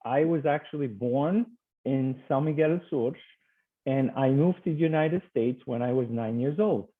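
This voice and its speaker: A man's voice: mid-range voice, normal speed. A man from Portugal, a teacher presenting slides over a video call in a friendly, hesitant way with many fillers. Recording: tinny video call line.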